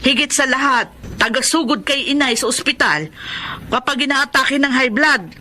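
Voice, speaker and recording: A woman's voice: sits high, normal speed, pushed and bright. A woman acting a hardworking, protective aunt in an emotive radio drama, reproachful yet caring. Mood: indignant.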